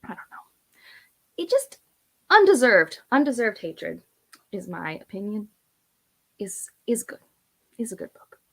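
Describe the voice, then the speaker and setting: A woman's voice: mid-range voice, big pitch swings, unhurried, creaky, pauses often, low-quality recording. Emphatic, rambling woman in her thirties. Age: 30s. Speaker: book vlogger on a read-along panel. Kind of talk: casual book chat.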